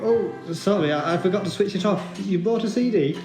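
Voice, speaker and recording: A man's voice: sits high, a little fast. A man playing a friendly, polite, chatty café server in an audio drama, in a roomy space. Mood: apologetic. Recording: roomy sound with guitar music.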